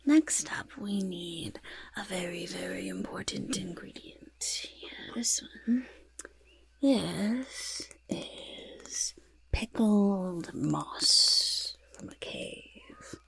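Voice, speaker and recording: A woman voicing a fussing, delighted goblin potion-maker in a soft-spoken ASMR roleplay, muttering and repeating words in a creaky, breathy half-whisper. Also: medium pitch, extremely slow, exaggerated voice. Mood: eager, fussing.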